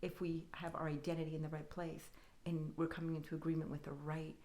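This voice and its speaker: A woman's voice: sits low, level tone, fast, dark tone, slight background noise. A woman guest on a podcast, talking earnestly and thoughtfully about faith and identity, building her points in lists. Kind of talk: reflective faith-based conversation.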